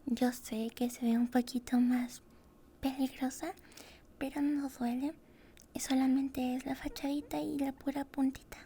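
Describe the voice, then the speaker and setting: A woman doing an ASMR roleplay as a dental hygienist, speaking softly and breathily in a tender, soothing way, close to the microphone. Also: high-pitched, flat delivery, unhurried, a little hiss or hum. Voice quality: soft whispery, breathy. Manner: tender, soothing, uses many diminutives. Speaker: ASMR creator role-playing a dental hygienist.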